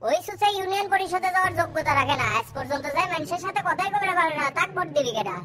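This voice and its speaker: A scheming small-town cartoon politico man, acted out with a pitched-up voice, very high and fast. He blusters boastfully, with music behind him. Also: expressive. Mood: opinionated. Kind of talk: cartoon comedy dialogue.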